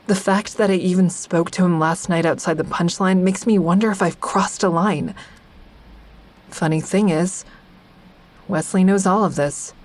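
A woman's voice: fairly low pitch, a little mellow. A woman playing an introspective therapy-group member who narrates her own story in a confiding, reflective way for an audio drama. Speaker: narrator and lead actor.

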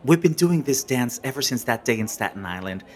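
An actor playing a smug, flirtatious, needling ex-husband with a coy, teasing manner in comic audio drama. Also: male voice, mid-range voice, lively intonation, fairly quick, close to an everyday voice. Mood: seductive. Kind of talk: comedic audio drama dialogue.

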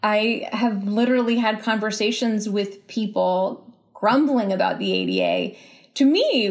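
A woman giving thoughtful, conversational interview answers, reasoning aloud and rephrasing as she goes. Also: on the high side. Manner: reflective, exploratory, repeats and rephrases as she reasons. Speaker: interview guest, writer.

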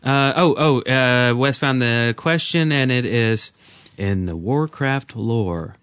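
A man co-hosting a casual gaming podcast, talking in a halting, rambling way. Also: mid-range voice, fairly bright tone, clear, resonant tone, no room sound. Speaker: podcast co-host.

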